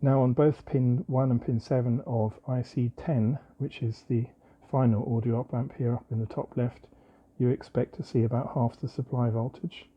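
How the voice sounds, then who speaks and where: Husky man explaining electronics step by step. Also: mid-range voice, steady tone, normal speed, muffled recording. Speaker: presenter of an electronics tutorial. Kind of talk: informal hands-on technical demonstration, explaining step by step.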